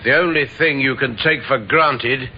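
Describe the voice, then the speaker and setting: A man's voice: medium pitch, unhurried, lightly acted. A man acting a plain-spoken character part in an old-time radio drama. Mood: matter-of-fact. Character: plain working man or matter-of-fact doctor. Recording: muffled old radio recording, faint hiss.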